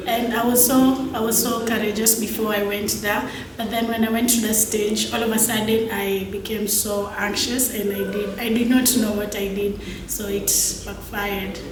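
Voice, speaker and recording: A woman of twenty-one giving candid interview answers as a student, hesitant and halting. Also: mid-range voice. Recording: roomy, distant mic, clear background noise.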